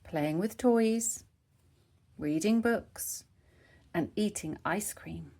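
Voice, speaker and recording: A woman reading a children's story aloud, with expressive narration phrased for young listeners. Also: medium pitch, extremely slow. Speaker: storybook reader.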